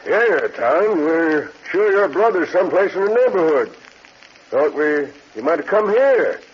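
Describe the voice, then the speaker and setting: An actor playing a folksy, helpful small-town sheriff in a 1940s radio drama. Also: male voice, on the high side, very animated, a little slow, slightly rough, heavily acted. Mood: brisk, helpful. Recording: dull, hissy 1940s radio broadcast.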